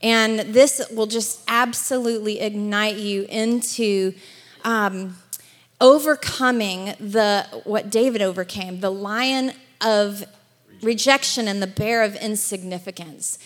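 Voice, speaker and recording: A middle-aged woman with a Southern US accent, chatting warmly from the pulpit with frequent laughs. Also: medium pitch. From Alabama. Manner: chatty, upbeat, laughs often. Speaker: guest speaker. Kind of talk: church address. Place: church sanctuary. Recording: long church hall reverb.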